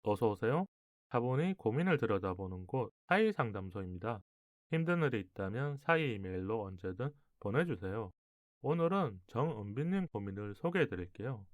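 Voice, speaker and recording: A man's voice: lowish voice, very animated, moderate pace, dry recording, clean studio sound. A man hosting a radio show in a conversational style, talking to listeners and a guest.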